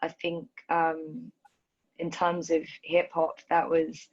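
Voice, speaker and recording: Young woman, London accent, from Ethiopia, low voice, thoughtful and hesitant, muffled video-call sound. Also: monotone, slow, darkish tone. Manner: thoughtful, hesitant with many ums and restarts. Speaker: dancer and choreographer. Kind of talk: reflective conversation.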